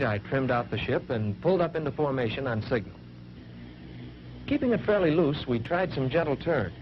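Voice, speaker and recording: An American man playing an earnest fighter pilot trainee, narrating a 1940s training film in measured, matter-of-fact phrases, on an old film soundtrack. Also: medium pitch, lightly acted. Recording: muffled, noisy vintage 1940s film soundtrack.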